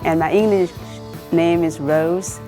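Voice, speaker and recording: A woman and scholarship recipient introducing herself carefully and deliberately. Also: lowish voice, fairly even intonation. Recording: music playing underneath.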